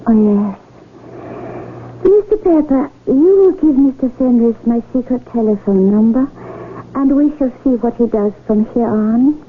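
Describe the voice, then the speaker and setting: A woman playing a haughty, regal royal highness in a 1970s radio drama, speaking in an imperious, formal, measured way. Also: animated. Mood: haughty, commanding. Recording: tinny, noisy old radio broadcast recording.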